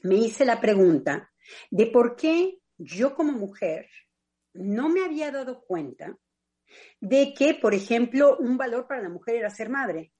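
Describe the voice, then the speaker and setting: A Mexican woman with a Mexican accent speaks on a radio panel, her voice a little high and rising and falling with animation. She is thoughtful and personal, often pausing with small hesitations as she works her ideas out aloud. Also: big pitch swings, warm tone. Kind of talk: reflective radio discussion.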